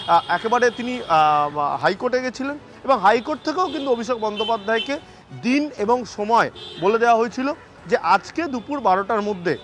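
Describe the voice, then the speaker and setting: Male reporter, high-pitched, emphatic, repetitive, hesitant, live outdoor report. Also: fairly bright tone. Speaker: news reporter. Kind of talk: live field report, spoken off the cuff.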